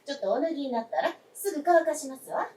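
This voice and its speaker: Heard from far off on an echoey stage, a genteel younger woman of the household in a radio play. Her high voice moves in lively swings, with polite, brisk, feminine phrasing. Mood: polite, attentive. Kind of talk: staged radio drama acting. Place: theatre stage.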